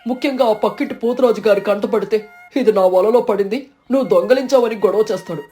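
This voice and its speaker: A woman plays a humble fisherman in a cartoon folk tale. The voice is mellow, cautious and confiding, with music underneath. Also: medium pitch, fairly quick, lightly acted. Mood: cautious hope. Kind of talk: animated moral story acting.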